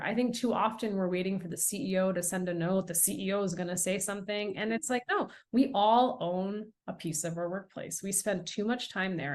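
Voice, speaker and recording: A middle-aged American woman, an author and guest on a podcast interview, telling stories in an earnest, emphatic way. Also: mid-range voice. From United States. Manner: earnest, emphatic, animated storytelling.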